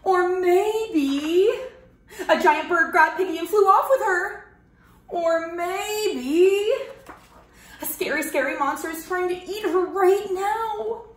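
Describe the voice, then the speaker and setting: A woman's voice: very high voice, takes it slowly, reverberant, voice pushed up. A woman voicing an anxious, melodramatic male elephant from a picture book, wailing with worry. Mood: panicked worry. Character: anxious, dramatic male cartoon elephant, panicky friend.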